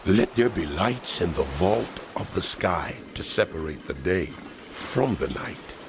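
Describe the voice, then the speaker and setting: A man's voice: sits low, expressive, a little slow, background noise. A man playing God as a solemn, commanding deity in a dramatized scripture reading. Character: God creating the world, commanding, majestic male deity.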